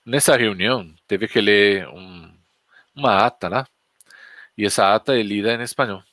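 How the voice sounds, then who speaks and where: Spanish guy w/ a spanish accent, lowish voice, chatty storytelling lesson, dry close sound. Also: normal speed, a bit bright, no room sound. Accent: Spanish from Spain. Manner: chatty, anecdotal, asks the audience questions. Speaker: Spanish teacher. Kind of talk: casual storytelling lesson on a live stream.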